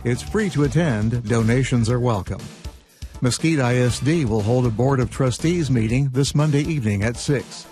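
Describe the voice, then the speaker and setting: A man reads out community event listings in a clear, even, scripted radio style. His voice sits at a medium pitch, and faint music plays underneath. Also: moderate pace. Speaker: community calendar announcer. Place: radio studio.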